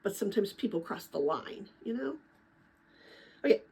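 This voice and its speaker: Female storyteller for middle-grade read-aloud. Animated intonation, husky edge, dramatic but conversational. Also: medium pitch, moderate pace. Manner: expressive, chatty when commenting to listeners. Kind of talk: dramatic children's book read-aloud with casual asides.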